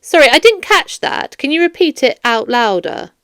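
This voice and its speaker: A woman's voice: high-pitched, lively intonation, fairly bright tone, dry recording, clean studio sound. A woman voicing a firm, formal police detective questioning a suspect in a children's audiobook. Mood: insistent. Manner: formal, measured, stern. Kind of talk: children's audiobook character dialogue.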